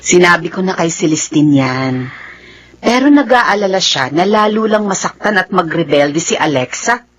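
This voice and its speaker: A woman's voice: fairly low pitch, expressive, moderate pace, a bit bright. An actress playing a sensible, sympathetic woman friend in a Filipino radio drama, with a Filipino accent. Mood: concerned. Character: sympathetic, sensible middle-aged woman, loyal confidante.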